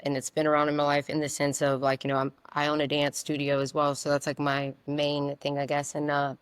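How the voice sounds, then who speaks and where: Raspy woman, flat delivery. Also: low voice, no echo. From South Louisiana. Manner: open, rambling, many fillers. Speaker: singer-songwriter guest. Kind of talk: casual interview answers.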